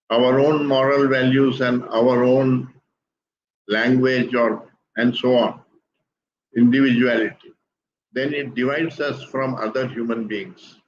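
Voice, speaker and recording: An older man from India with an Indian English accent, giving a calm, deliberate explanation as a guest speaker. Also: mid-range voice, muffled recording, a little hiss or hum. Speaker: guest speaker on spiritual teachings. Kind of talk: measured explanatory talk.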